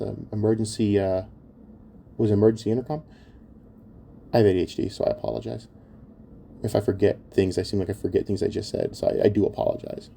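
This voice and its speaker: A man's voice: on the low side, creaky, mellow, a little hiss or hum. A man giving an opinionated commentary rant in a blunt, exasperated and scornful manner, swearing heavily. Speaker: online commentator.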